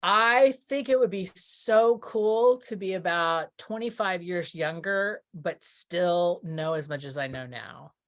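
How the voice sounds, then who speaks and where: Interview guest: middle-aged woman from Texas, slightly low register, casual, hesitant, thinks aloud. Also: takes it slowly, few pauses. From Texas, United States. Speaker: interview guest, theatre producer.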